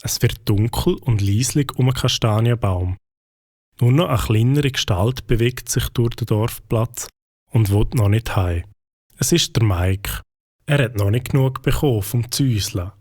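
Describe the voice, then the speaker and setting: A man's voice: medium pitch, measured pace, a little mellow, no echo, clean studio sound, natural voice. A man with a Swiss German accent narrating a scripted children's story.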